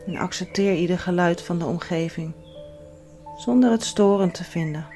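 A woman with a slightly low voice leads a guided yoga relaxation. She gives soothing, measured instructions and leaves pauses between phrases. Faint music plays behind her. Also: mellow. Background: background music.

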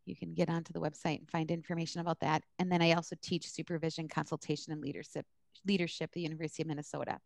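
A woman's voice: sits low, flat delivery, racing, gravelly, no echo. A woman from the US East Coast speaking bluntly and candidly in an interview, with emphatic, conversational answers like an instructor explaining her work. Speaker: social work supervision instructor.

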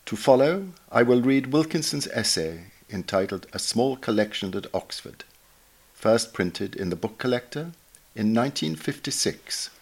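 A man reading a scripted obituary aloud as narrator, in a measured, formal manner. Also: medium pitch, lively intonation. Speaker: reader, narrator.

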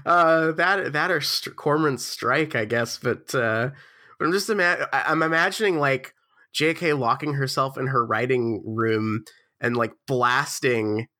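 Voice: mid-range voice, measured pace, sharp tone. A podcast host chatting casually while still congested from the flu, rambling with lots of fillers and restarts. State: stuffy, congested from a cold.

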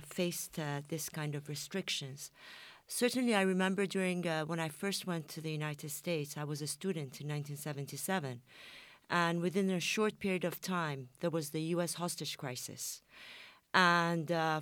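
Woman on a panel, lowish voice, lots of uhs, dry sound. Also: no echo. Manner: hesitant, with many fillers. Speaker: panel guest. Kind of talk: studio discussion.

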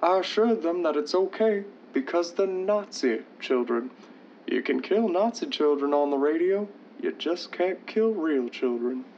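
A man's voice: fairly high pitch, expressive, measured pace, a little mellow, a little hiss or hum. A man playing a glib radio writer and theatrical announcer in an old-time radio drama. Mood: breezy. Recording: dull lo-fi sound with music.

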